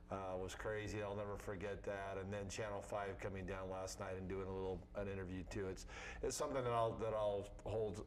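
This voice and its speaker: A man's voice: on the low side, slight background noise. A middle-aged or older man, a sports coach, answering informally as an interview guest.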